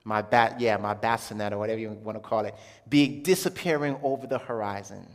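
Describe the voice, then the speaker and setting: A man's voice: lowish voice, fairly quick. A man giving an informal religious testimony to an audience, telling his story conversationally and often searching for words. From Jamaica, then Brooklyn, New York from childhood. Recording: echoey hall with long reverb.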